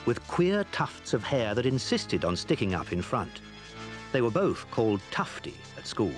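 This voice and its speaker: A man narrating a children's adventure audio drama as a scripted storyteller. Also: fairly low pitch.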